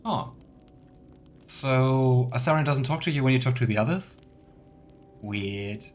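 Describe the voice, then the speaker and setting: A man's voice: medium pitch, measured pace, a little hiss or hum. A man giving casual let's play commentary in a chatty, playful way, with rambling asides. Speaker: let's player.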